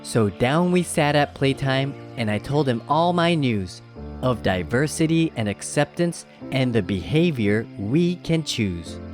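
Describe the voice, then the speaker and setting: A storybook reader giving scripted children's narration in an expressive, measured rhyming rhythm. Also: mid-range voice. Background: background music.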